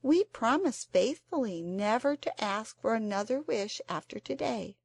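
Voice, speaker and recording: A woman's voice: on the high side, animated, a little slow, creaky, no echo, pitched above the performer's own voice, full character voice. A woman doing an earnest, dramatic young girl. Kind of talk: storybook character voice.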